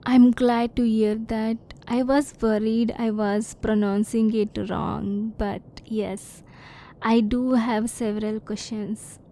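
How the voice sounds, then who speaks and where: A woman voicing a curious, reserved female alien in an ASMR roleplay, speaking softly in formal, stilted phrases, at times close to a whisper. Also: on the high side, flat delivery, slow pace. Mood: curious, composed. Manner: soft-spoken, sometimes near whisper.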